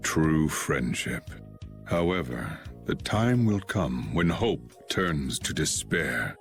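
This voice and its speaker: Cold distant swordsman, very deep voice, slow deliberate delivery, swings of pitch, soft game music behind. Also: animated, heavily acted. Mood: solemn. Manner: slow, solemn, detached. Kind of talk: video game voice acting.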